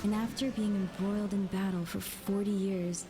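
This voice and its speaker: A woman's voice: mid-range voice, steady tone, normal speed. A woman reading scripted narration in a solemn, formal storytelling manner. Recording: music under narration, played from video. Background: music.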